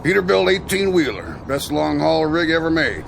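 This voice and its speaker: An actor in a radio drama playing an easygoing long-haul truck driver with a gravelly voice and folksy, casual talk. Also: male voice, on the high side, very animated, normal speed, noisy background, heavily acted. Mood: breezy, chatty.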